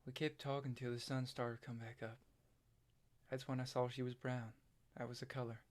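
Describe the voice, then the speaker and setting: Man playing a halting, shy farm boy. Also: medium pitch, little pitch movement, natural voice. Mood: nervous, shy. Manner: halting, quiet, stammering. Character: shy, awkward young farm boy, hesitant and anxious. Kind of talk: staged script reading.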